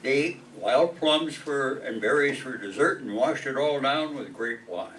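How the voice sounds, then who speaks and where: Guy doing know-it-all relative in radio comedy, deliberate, bright voice, faint hiss and distant. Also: mid-range voice, close to an everyday voice. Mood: matter-of-fact. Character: know-it-all male relative reciting history facts. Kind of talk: radio comedy play acting. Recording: noisy, distant stage microphone.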